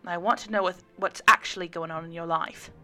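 A woman voicing a pregnant woman who is blunt, excitable and teasing as she talks with an old friend in an audiobook. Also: on the low side, fairly quick, faint music behind. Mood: frank, firm. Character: pregnant woman, candid, bold and teasing, meeting former best friend.

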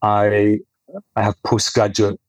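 Casting: Highland Scots doctor in his forties, lowish voice, measured delivery, dry close mic. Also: completely flat delivery, no echo, rough recording. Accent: soft Highland Scottish. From Scotland. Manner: measured, with hesitant fillers. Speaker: guest cosmetic physician. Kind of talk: podcast interview.

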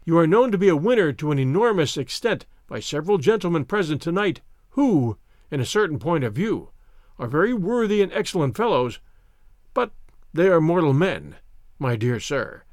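A man voicing an effusive, flattering old French soldier with a grandiloquent, wily manner in a story reading. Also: a little high, moderate pace, dry recording, studio quality. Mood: solemn, confidential. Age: older.

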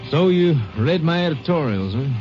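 A man's voice: fairly high pitch, animated, moderate pace, soft background music, lightly acted. An actor playing a chatty, outspoken small-town newspaper editor in a 1950s radio drama. He talks in a wry, chatty way. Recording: muffled vintage radio broadcast.

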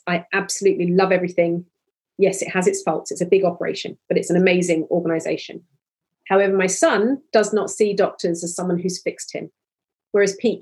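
A woman's voice: mid-range voice, a little mellow, slightly rough. A woman in her forties, a podcast guest, talking earnestly and openly with many restarts. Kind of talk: candid conversational interview.

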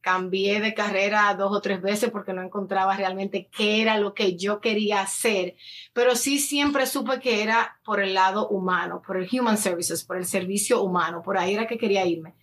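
A woman's voice: mid-range voice, fairly even intonation, moderate pace, a bit bright. A woman in her early thirties with a Dominican Spanish accent, talking casually and at length as an interview guest, mixing in English words. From Dominican Republic. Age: early 30s. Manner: talkative, anecdotal, slips in English words. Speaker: interview guest, influencer and addiction counselor. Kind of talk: casual conversational interview answers.

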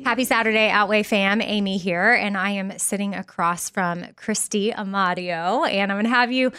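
A woman's voice: medium pitch, normal speed, pushed and bright, clean tone. A woman hosting a podcast, chatting in an upbeat and friendly way with her guest. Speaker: podcast host. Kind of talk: casual interview chat.

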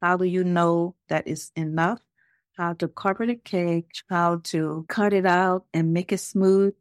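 Middle aged lady chatting on a podcast, lowish voice, really dry sound. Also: steady tone, measured pace, no room sound. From Liberia. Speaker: podcast guest, home cake business owner. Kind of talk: conversational interview storytelling.

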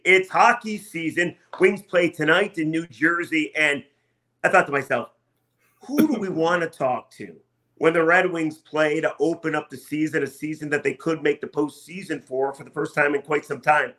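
A man's voice: a little high, normal speed, bright, forceful tone. A man hosting a sports podcast, talking and asking questions in an enthusiastic, emphatic way. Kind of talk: upbeat sports talk and interviewing.

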